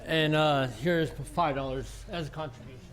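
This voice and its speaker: A man playing a formal but good-natured bank examiner in a 1940s-style radio play, speaking in a measured, official way. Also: a little high, steady tone, natural voice. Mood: official, conceding. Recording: noisy live stage recording.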